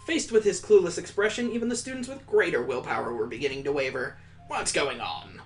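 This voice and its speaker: A man's voice: a little high, fairly even intonation, fast talker. A man reading visual novel text aloud as a gameplay host, with theatrical, mock-epic narration over music. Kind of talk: dramatic storybook-like narration of game text. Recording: music behind the voice.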